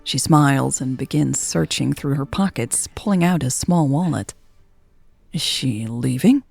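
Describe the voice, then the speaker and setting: A woman narrates a romance in the first person. Her voice is low and gravelly with a husky grain, set over faint music. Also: measured pace, a little mellow, dry recording. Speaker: storyteller reading a romance story.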